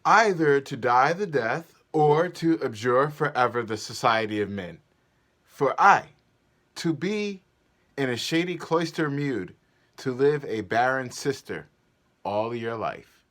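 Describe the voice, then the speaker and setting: A man's voice: medium pitch, lively intonation, takes it slowly, fairly bright tone. A man playing a grand, commanding duke in a stately, declamatory Shakespearean stage reading. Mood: grave. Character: grand, commanding male duke, measured and solemn.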